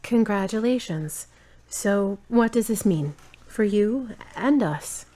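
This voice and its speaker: A woman's voice: medium pitch, lively intonation, slow, mellow. A woman playing a supportive, forthright girlfriend in an amateur audio drama, with a direct and conversational delivery. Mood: supportive, affectionate.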